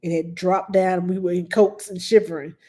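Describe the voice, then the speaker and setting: A woman's voice: on the low side, level tone, fairly quick, mellow. A middle-aged woman with a stuffed-up nose, chatting plainly and bluntly as a nanny answering viewer questions on a live stream.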